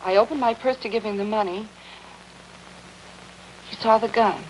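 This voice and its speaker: A woman's voice: mid-range voice, moderate pace, highs cut off, slight background noise, natural voice. A young American woman playing an anxious, earnest wife in a melodramatic 1950s TV drama. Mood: distraught. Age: young adult.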